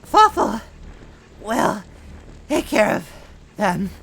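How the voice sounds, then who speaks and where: A frantic storybook henchman with a high, gravelly squeal. He wheezes through mangled grammar, calling himself by name, and his pitch swoops wildly. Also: very animated, very slow, fairly bright tone, full character voice, rough recording. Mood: devoted, determined. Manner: broken grammar, speaks of himself in third person. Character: frantic, wheezing villainous minion with fractured grammar.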